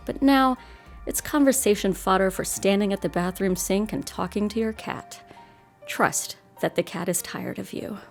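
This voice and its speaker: A woman's voice: mid-range voice, darkish tone. A woman hosting a radio horror show, reading a scripted introduction in an arch, wry, theatrical manner.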